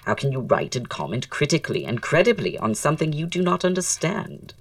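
A woman's voice: deep voice, big pitch swings, brisk, no room sound, distant mic. A woman acting in an audio drama as a flamboyant, witty, teasing male Victorian playwright, with a theatrical drawl and arch, florid wording. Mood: indignant, haughty.

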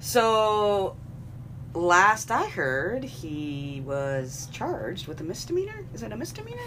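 A young woman co-hosting a casual podcast, her voice raspy from a cold, talking frankly and laughing often. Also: medium pitch, very animated, takes it slowly, fairly bright tone, slight background noise. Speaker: podcast co-host. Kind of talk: casual chat.

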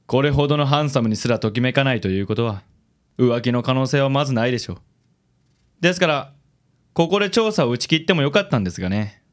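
A man's voice: medium pitch, animated, fast talker, close to an everyday voice. Self-admiring male investigator acted by a man, medium register, suave and theatrical, for radio drama. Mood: smug. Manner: smooth, theatrical self-assurance.

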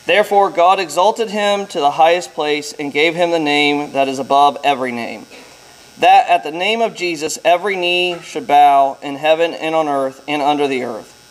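An American man with a fairly high voice reads scripture aloud in church. He delivers the verses formally, as a lay reader addressing a congregation. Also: rough recording. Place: church, during a Sunday service.

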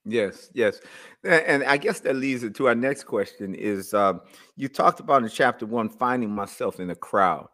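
A male interviewer asks about a guest's book and often backs him up with short affirmations. His voice sits at a middle pitch, plain and conversational. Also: lively intonation, moderate pace, fairly bright tone. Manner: affirming, frequent mm-hmms. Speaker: interview host. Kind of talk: interview questions.

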